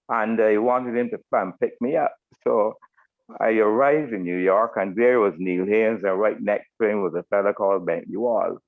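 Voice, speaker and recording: Through a narrow phone-like connection, an older man with a Barbadian accent speaks in a fairly low voice. He offers thanks and small anecdotes in formal, deliberate phrasing, as a retired officer would on a group video call. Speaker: retired military officer giving closing remarks. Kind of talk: informal thank-you speech on a group call. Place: online video meeting.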